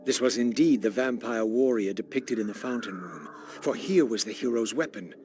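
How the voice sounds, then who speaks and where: An actor playing a brooding, aristocratic vampire who narrates his thoughts in a formal, measured, portentous way for a video game. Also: medium pitch, music in the background, natural voice. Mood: solemn, brooding. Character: brooding, solemn male vampire, weary and aristocratic. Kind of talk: scripted video game narration, introspective monologue.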